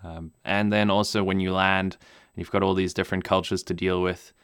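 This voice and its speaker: A man who grew up in Namibia with German as his first language, answering interview questions in a relaxed way, full of ums and restarts. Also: low-pitched, brisk, clean tone, no room sound, clean studio sound. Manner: relaxed, hesitant with many ums and restarts, laughs easily. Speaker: pilot and video maker.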